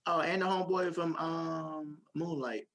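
A man's voice: on the high side, steady tone, unhurried, gravelly, fairly bright tone. A man co-hosting a podcast in casual chat, playful, trailing off with ums and restarting sentences. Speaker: podcast co-host.

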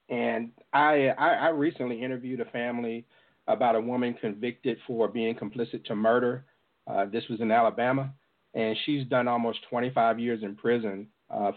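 Male interviewer with a phone-like sound. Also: mid-range voice. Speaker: interviewer, host. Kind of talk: conversational interview.

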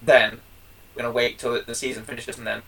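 A man's voice: medium pitch, little pitch movement, fast talker, gravelly, fairly bright tone, distant mic, rough recording. A man co-hosting a casual review podcast, chatty and jokey, often calling his co-host by name.

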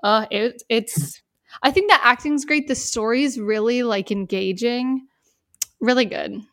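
A woman's voice: a little high, unhurried, fairly bright tone, no room sound, clean studio sound. A young adult woman co-hosting a casual film and TV podcast in an informal, upbeat, appreciative way. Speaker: podcast co-host.

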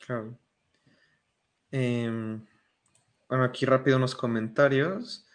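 A man's voice: medium pitch, steady tone, slow pace. A man with a Mexican accent hosting a casual podcast, rambling with lots of "o sea". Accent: Mexican Spanish. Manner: rambling, many fillers like "o sea". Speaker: podcast host. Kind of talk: casual discussion.

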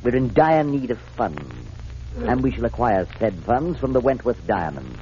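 An actor in a 1940s radio drama playing a cold-blooded crime boss with fussy, formal, hesitant diction. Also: male voice, mid-range voice, big pitch swings, normal speed, dark tone, natural voice. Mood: businesslike, grandiose. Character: pompous, fussy, cold-blooded criminal mastermind. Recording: muffled, noisy old 1940s radio recording.

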